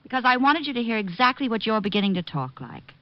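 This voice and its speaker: A woman's voice: fairly high pitch, sing-song, fast talker. Refined American girlfriend, teasing and well-spoken, vintage radio drama, slightly dull recording. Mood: teasing, reproving. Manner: well-spoken, playfully reproving. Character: detective's refined, teasing sweetheart. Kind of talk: 1950s radio drama acting.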